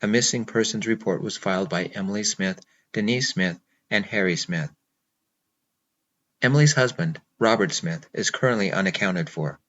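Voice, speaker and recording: A man's voice: on the low side, normal speed, fairly bright tone, muffled recording. A man voicing a businesslike police officer who speaks curtly and formally. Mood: matter-of-fact.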